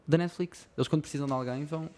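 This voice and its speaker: A man's voice: fairly high pitch, fairly even intonation, rapid-fire, darkish tone. A man with a European Portuguese accent hosting a casual studio interview, asking friendly, joking questions. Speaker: podcast host.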